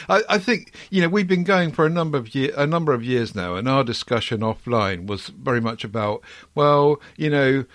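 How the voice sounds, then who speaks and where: A man's voice: medium pitch, a bit bright, dry recording. A middle-aged man hosting a podcast, chatting casually and rambling with many ums and restarts. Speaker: podcast host. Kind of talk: casual chat.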